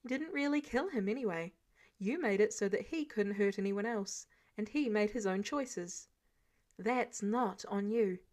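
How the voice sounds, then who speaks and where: A woman's voice: mid-range voice, measured pace, darkish tone, close to an everyday voice. A woman voicing a wry, protective grown man, a superhero mentor with a casual, sardonic manner, in a story reading. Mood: reassuring. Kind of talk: character dialogue in fan fiction reading.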